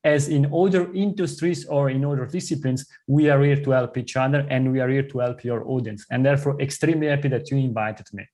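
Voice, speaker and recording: A man's voice: mid-range voice, fairly even intonation, fairly quick, few pauses. A man from southern Switzerland whose first language is Italian, speaking English with an Italian-Swiss accent as an earnest podcast guest. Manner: earnest, warm, slightly non-native phrasing. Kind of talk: conversational interview.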